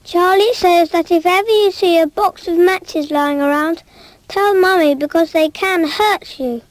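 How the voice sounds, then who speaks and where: A woman voicing an earnest little boy who tells simple cautionary tales in a cartoon safety film. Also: very high voice. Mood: earnest warning. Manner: slow, simple, childlike storytelling.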